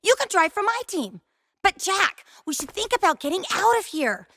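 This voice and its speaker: A woman's voice: extremely high pitch, sing-song, moderate pace, pushed and bright, dry recording, exaggerated voice. A young woman playing an earnest, determined young mechanic in a video game. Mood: urgent, hopeful.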